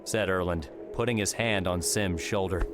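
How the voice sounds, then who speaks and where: A male narrator reads a story in a fairly low voice. His scripted audiobook delivery sits over clear background music. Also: moderate pace. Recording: music behind the voice.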